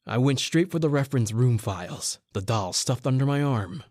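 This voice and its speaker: A man playing a haunted, brooding newspaper reporter who narrates his tale in old-time radio horror style, with dramatic pauses. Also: mid-range voice, expressive, a little mellow, no echo, clean studio sound, natural voice.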